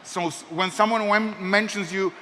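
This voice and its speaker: A young man from Serbia talks to a convention crowd with wry, self-mocking jokes. His voice is bright and sits a bit high for a man. It rings out in a reverberant hall with a faint hiss underneath. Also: fairly even intonation, moderate pace. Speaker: convention panelist. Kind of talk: joking convention talk.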